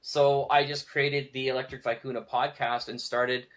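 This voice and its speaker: Male podcast guest, medium pitch, conversational, animated storyteller who acts out quoted lines. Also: normal speed. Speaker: podcast guest, audio drama producer and show host. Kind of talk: casual conversational interview.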